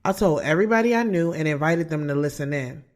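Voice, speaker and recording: A woman's voice: sits low, animated, fast talker, no echo, studio quality. A woman playing a hopeful, candid author of about thirty in an audio drama, speaking in a casual, earnest way. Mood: hopeful, reflective. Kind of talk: first-person audio drama narration and radio interview dialogue.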